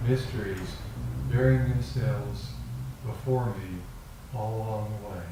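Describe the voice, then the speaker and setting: A man with a fairly low voice reads verse at a meditation group. His delivery is measured, with deliberate pauses between short phrases. There is clear background noise on the recording. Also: heavy reverb, distant mic. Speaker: poetry reader at a meditation group. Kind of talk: slow, measured poem reading. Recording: clearly noisy room recording.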